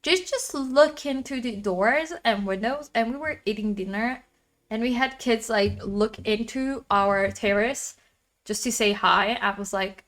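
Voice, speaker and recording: A woman with a loud voice chatting casually on a livestream from her apartment, rambling and venting about her neighbors. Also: fairly high pitch, measured pace. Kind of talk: casual chat.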